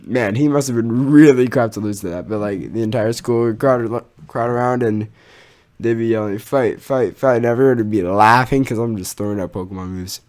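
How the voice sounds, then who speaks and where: A young man with a mid-pitched voice tells a personal story online. His delivery is casual and animated, full of slang, exaggeration and swearing. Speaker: storytelling YouTuber.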